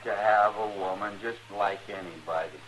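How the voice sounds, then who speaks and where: An actor playing a simple-minded, stubborn backwoods son in an old radio western, with a rural American drawl. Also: male voice, lowish voice, fairly even intonation, moderate pace, fairly bright tone, close to an everyday voice. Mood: yearning, stubborn. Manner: childlike, stubborn. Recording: noisy vintage radio recording.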